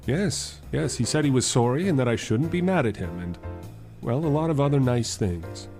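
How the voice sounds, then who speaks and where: A man's voice: mid-range voice, animated, moderate pace, darkish tone, soft background music, natural voice. A man playing a mild, affectionate suitor in an old-time radio drama, earnest and old-fashioned in delivery. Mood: apologetic, gentle.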